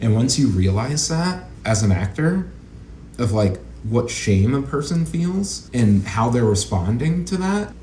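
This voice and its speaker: A man's voice: mid-range voice, very animated, measured pace, dark tone. Guy chatting on an interview, thoughtful and sincere, echoey room w a bit of background noise. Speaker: guest, actor and acting educator. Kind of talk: casual interview conversation. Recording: roomy echo, light background noise.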